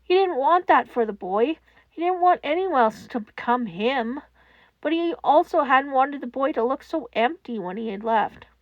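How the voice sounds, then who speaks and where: A woman narrating scripted fan fiction in a solemn, measured way with dramatic pauses. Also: high-pitched, animated, no room sound, muffled recording.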